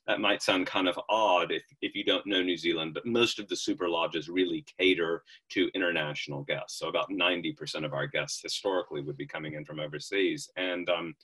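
A man's voice: low voice, a bit bright, breathy edge. A middle-aged man with an American accent, a lodge owner talking enthusiastically in an interview over a call, with frequent restarts and fillers.

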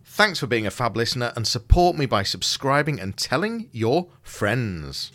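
A man's voice: mid-range voice, very animated, normal speed. A man hosting a marketing podcast, presenting to listeners and interviewing a guest. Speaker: podcast host and digital marketer. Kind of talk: scripted intro and live interview hosting.

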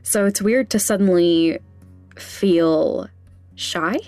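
A woman with a fairly low voice talks at a very slow pace, as a podcast host speaking off the cuff. She is candid but halting and a little self-conscious. The sound is dry and close, with music behind her. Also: darkish tone, dry recording. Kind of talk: candid, casual podcast talk.

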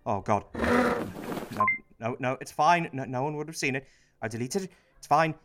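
An actor playing an anxious, guilt-ridden Prime Minister in a satirical radio play, whiny and flustered. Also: male voice, mid-range voice, big pitch swings, normal speed, very noisy, close to an everyday voice. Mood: guilty, flustered.

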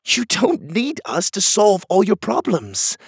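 A man's voice: high-pitched, huge pitch swings, slow pace, vocal fry, breathy edge, dry recording, clean studio sound, voice pushed up, heavily acted. A man voicing a wise, earnest turtle hero who gives advice in a children's storybook. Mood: exasperated.

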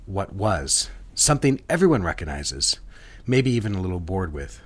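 Man acting a deep, husky, intense ad boss. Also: sing-song, normal speed. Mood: businesslike, instructive. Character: married 1960s ad executive, smooth, intense, commanding boss. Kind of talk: period romantic audio drama acting.

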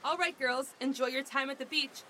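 A cheery young recruiter gushes in an overly friendly way, played by an actress. Her voice is high and sharp-edged. Faint noise hums behind her. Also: fairly quick.

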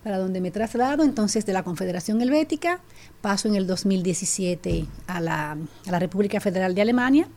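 A middle-aged Dominican woman with a Dominican Spanish accent, telling her story as a guest in an animated, casual way with frequent restarts. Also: medium pitch, a little fast. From Dominican Republic. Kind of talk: casual chat.

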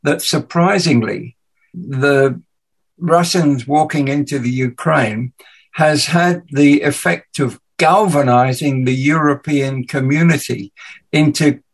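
A man with an English accent mixed with Danish hosts a relaxed conversation. His voice sits fairly high, and he rambles a little as he works through his thoughts. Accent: English with Danish mix. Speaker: podcast host. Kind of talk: casual chat.

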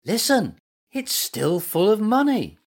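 A woman voicing an excited, eager young boy in a children's story. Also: medium pitch, takes it slowly, pitched above the performer's own voice, full character voice. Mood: amazed. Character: excited young boy greeting his animal friends.

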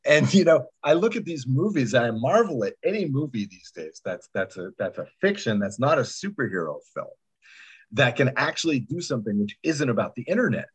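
A man's voice: mid-range voice, sing-song, a little fast, breathy edge. A man speaking as a podcast producer in a casual interview, with an emphatic, rambling storytelling manner. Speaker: podcast producer and sound editor. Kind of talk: animated casual interview chat.

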